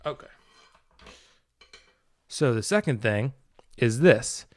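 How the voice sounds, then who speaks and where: A man's voice: medium pitch, animated, takes it slowly, no room sound. A man hosting a casual, unscripted tutorial, talking informally and a bit ramblingly as he demonstrates. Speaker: video tutorial host, hobbyist. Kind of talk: casual unscripted product walkthrough.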